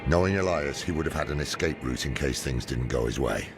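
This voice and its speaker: An actor playing a weary, grave scholar and mentor in a video game, with a gravelly, deliberate delivery over loud music. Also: male voice. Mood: somber. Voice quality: very deep, gravelly. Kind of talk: video game cutscene acting.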